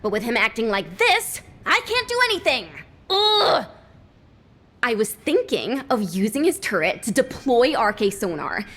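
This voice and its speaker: A woman's voice: on the high side, sing-song, a little slow, a bit bright, exaggerated voice. An actress playing a restless, emotional young woman in a video game cutscene, exasperated and rambling.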